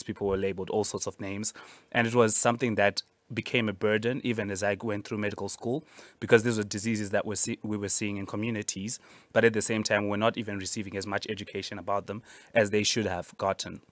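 A man's voice: fairly low pitch, little pitch movement, quick pace, no echo. A young man from Zimbabwe, a junior doctor, answering interview questions earnestly with frequent restarts in a studio. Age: young adult. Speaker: junior doctor, youth advocate.

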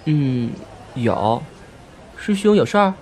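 A man's voice: medium pitch, very animated, slow, a little mellow, a little hiss or hum. A man acting a guileless, blunt young guy. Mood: friendly, polite. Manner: hesitant, guileless. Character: naive, blunt, good-natured young man with a crush. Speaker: amateur voice actor. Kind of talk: audio drama acting.

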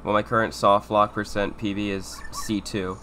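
A young man with a cold talks in low, mumbled asides while he plays through a speedrun. His lowish voice is stuffy and sniffly. A faint hiss of room noise sits behind him. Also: little pitch movement, normal speed, sharp tone. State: sick, sniffling. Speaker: speedrunner streamer. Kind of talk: casual live-stream commentary. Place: home room with air conditioner.

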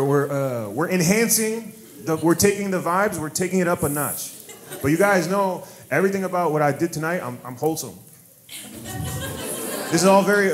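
An American man doing stand-up crowd work on stage, riffing loosely with lots of profanity, laughter and restarts. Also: on the high side. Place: comedy club stage. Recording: live club recording with noisy background.

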